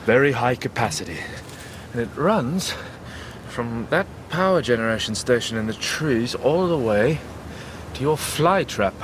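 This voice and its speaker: An actor in a radio drama playing a knowledgeable, guarded foreign captive with a measured, dry way of talking. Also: male voice, mid-range voice, expressive, noisy background, natural voice.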